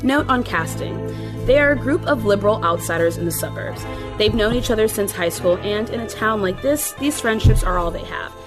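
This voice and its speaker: A woman reads scripted stage directions in a measured manner. Her pitch is mid-range, and music plays clearly behind her. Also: animated. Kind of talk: scripted narration.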